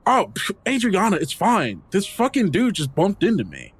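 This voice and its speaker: Man doing a cocky teenage bully, pitched way up. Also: huge pitch swings, moderate pace, slightly rough, full character voice. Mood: mocking, irritated. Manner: taunting, slangy. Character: cocky aggressive eighteen-year-old boy, slangy bully.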